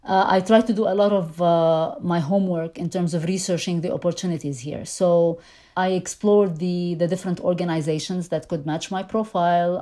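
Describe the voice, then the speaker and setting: A woman's voice: lowish voice, warm tone. A middle-aged woman whose first language is Arabic, answering interview questions thoughtfully with frequent fillers and restarts. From Lebanon. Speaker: interview guest, immigrant. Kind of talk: conversational interview.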